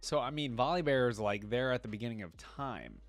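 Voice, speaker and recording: A man hosting a reaction video in an informal way, reading aloud and thinking out loud as he comments. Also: medium pitch, expressive, brisk, no room sound, soft background music.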